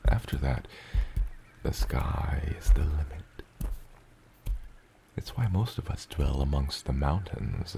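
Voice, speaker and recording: Huge, gravelly, rumbling father dragon. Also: mellow, full character voice. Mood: gentle, explaining. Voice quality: very deep gravelly rumble, breathy. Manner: slow, tender, eloquent, slightly old-fashioned wording. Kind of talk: ASMR roleplay acting.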